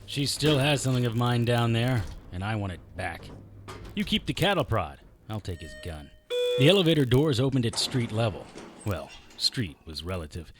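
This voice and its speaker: An actor playing a wry, unflappable private investigator in an audio drama, narrating and talking in a deadpan, sardonic way. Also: male voice, medium pitch, moderate pace, lightly acted. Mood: wry, unruffled. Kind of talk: hard-boiled detective audio drama acting with first-person narration.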